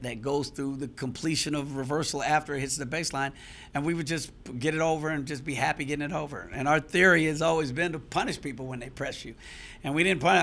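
A man's voice: mid-range voice, gravelly, a bit bright. A middle-aged man, a basketball head coach, speaks frankly and with some frustration at a post-game press conference, restarting phrases as he thinks. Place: press room before a sponsor backdrop. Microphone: handheld mic on a stand close in front.

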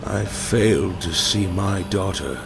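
A man plays a grave older seer in a fantasy game, heavy with regret. The voice sits low and rough with fry, and every word is drawn out slowly and solemnly. Loud game music plays underneath. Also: background noise, exaggerated voice. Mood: regretful. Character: weary older male seer, grave and brooding. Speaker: follower in a game mod.

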